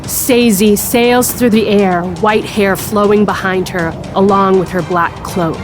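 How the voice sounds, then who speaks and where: A woman's voice: medium pitch, fairly even intonation, a little slow. A woman reading scripted fantasy narration for an audio drama. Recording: prominent music bed behind narration. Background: music.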